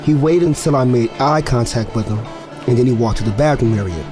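A guarded young pro football player played by a male actor tells his story as audio drama narration. He speaks at a middle pitch in a hesitant, candid, conversational way, as if confiding in the listener. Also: brisk, music in the background, lightly acted. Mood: reflective, confiding.

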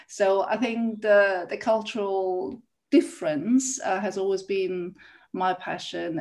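A woman's voice: fairly low pitch, creaky, a little mellow. A middle-aged woman from South Korea who grew up in Austria, speaking as a podcast guest in a reflective way with many hesitations. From born in South Korea, raised in Austria. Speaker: guest, intercultural consultant. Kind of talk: podcast interview.

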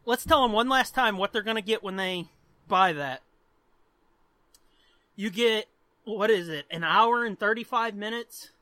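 A man's voice: high voice, measured pace, pauses often, sharp tone, dry recording. A man co-hosting a podcast in casual chat, speaking informally and swearing freely. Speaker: podcast co-host.

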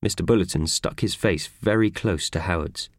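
A man narrating a children's audiobook in an expressive, scripted storytelling style. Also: deep voice, normal speed, no echo, clean studio sound. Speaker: audiobook reader.

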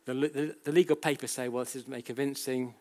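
British man lecturing, halting and conversational. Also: medium pitch, steady tone, a little mellow. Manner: halting, self-correcting, with frequent repetitions; conversational, checks in with rhetorical questions. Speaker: academic lecturer. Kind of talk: public lecture, explanatory and anecdotal.

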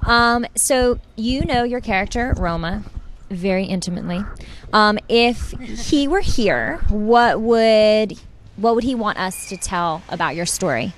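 Female panel host: unhurried, halting questions, mid-pitched, faint noise behind. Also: lively intonation. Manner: slow, hesitant questioning with fillers. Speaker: panel moderator.

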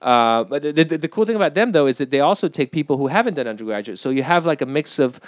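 A middle-aged man from Peru, a film director talking casually in an interview, halting with many restarts and fillers. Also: fairly high pitch, animated, no echo.

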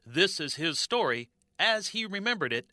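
A man's voice: sits high, big pitch swings, moderate pace, sharp tone, dry recording, studio quality. An American man delivering scripted documentary narration.

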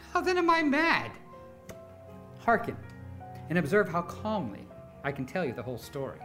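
A man performing a nervous, obsessive madman who confesses to murder and insists he is sane, in an intense, halting gothic horror reading. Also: on the high side. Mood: agitated, manic. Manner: intense, halting, swinging from hushed to exclaiming. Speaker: dramatic reader narrating a horror story.

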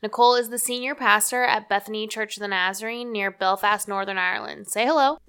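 A woman's voice: medium pitch, brisk, bright, forceful tone, clean tone. A woman hosting a podcast, reading an upbeat intro and asking interview questions. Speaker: podcast host.

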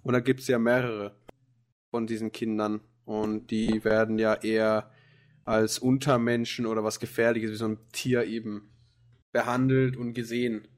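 A man's voice: fairly low pitch, level tone, moderate pace. A man co-hosting a casual anime podcast, rambling with many fillers and restarts. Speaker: podcast co-host. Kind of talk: casual chat about anime.

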